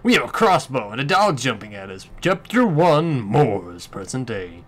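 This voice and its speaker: A man's voice: medium pitch, big pitch swings, normal speed, a bit bright. A man hosting a let's play with casual, rambling gameplay commentary and some swearing.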